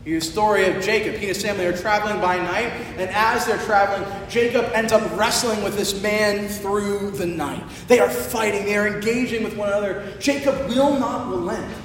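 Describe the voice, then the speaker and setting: A high-pitched male preacher speaks from some distance in a large, very reverberant hall. His voice is a little hoarse, and he tells his story conversationally, with animated emphasis and stretched-out phrases. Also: normal speed, hardly any pauses. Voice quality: slightly hoarse. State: hoarse from shouting the day before. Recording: echoey large hall, distant, faint rumbling noise.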